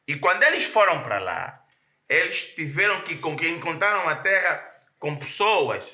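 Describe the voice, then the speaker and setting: Male pastor preaching, slightly high bright voice, emphatic, keeps repeating words. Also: very animated, normal speed, echoey room. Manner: emphatic, repeats words while searching for the next phrase. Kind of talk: sermon.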